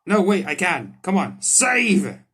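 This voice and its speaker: A man's voice: sits high, big pitch swings, slow, a bit bright, slightly rough. A man hosting a gaming video, reading visual novel text aloud and commenting on it in informal, slangy language. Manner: informal, slangy, swearing, reacts aloud to what he reads. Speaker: gaming YouTuber, host.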